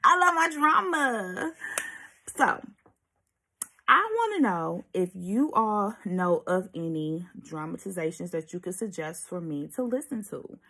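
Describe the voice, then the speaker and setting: Lively, slightly husky woman chatting. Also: mid-range voice, a little slow. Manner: animated, emphatic, sassy asides with pet names. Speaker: host of an audiobook review vlog. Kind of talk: casual chatty video commentary.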